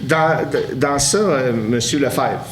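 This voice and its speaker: A man's voice: medium pitch, slow, reverberant, over music. A man with a Québécois French accent chairing a municipal council meeting, answering questions with many fillers and restarts.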